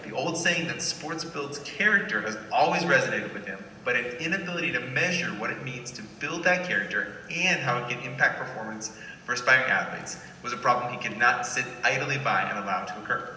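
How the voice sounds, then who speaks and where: A man reading a scripted, dramatic introduction for a speaker, in a polished and measured promotional delivery. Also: medium pitch, bright, forceful tone. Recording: echoey playback in hall, distant, faint noise.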